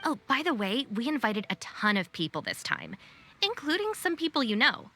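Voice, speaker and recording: A woman's voice: a little high, big pitch swings, moderate pace, bright, forceful tone, faint music behind, heavily acted. A woman voicing a composed, practical young gang deputy in a video game, speaking politely and matter-of-factly. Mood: friendly.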